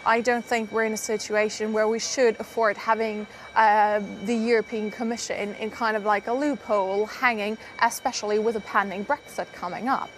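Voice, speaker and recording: A woman on a live televised debate panel, explaining in a measured, step-by-step way. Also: medium pitch, fairly even intonation, soft background music. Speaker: politician in a studio debate.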